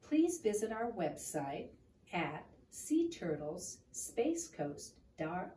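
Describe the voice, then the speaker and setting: A woman reading a picture book aloud to a child and explaining it in a gentle, teacherly way. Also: mid-range voice, sing-song, takes it slowly. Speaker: children's storytime reader. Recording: roomy, distant mic with room noise.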